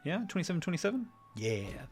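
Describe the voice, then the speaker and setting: A man's voice: on the high side, big pitch swings, fast talker, faint music behind. A man streaming a video game, casually thinking aloud and muttering to himself between bursts of exclamation. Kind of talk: casual live gameplay commentary, thinking aloud.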